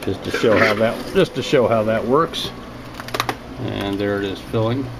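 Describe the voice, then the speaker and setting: A man gives a casual, unscripted video tour in a plain-spoken, low-key way, talking from behind a handheld camera in a small basement room. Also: a little slow, vocal fry. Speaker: home hydroponics hobbyist narrating a video tour. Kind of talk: casual unscripted walkthrough narration. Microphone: handheld camera, narrator behind it. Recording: steady loud hum and water noise.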